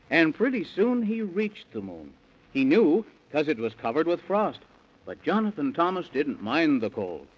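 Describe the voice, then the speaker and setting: A man's voice: fairly high pitch, breathy edge, heavily acted. A man narrating a rhyming children's bedtime story in theatrical, sing-song 1930s radio style, on an old recording. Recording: dull, band-limited 1930s radio recording.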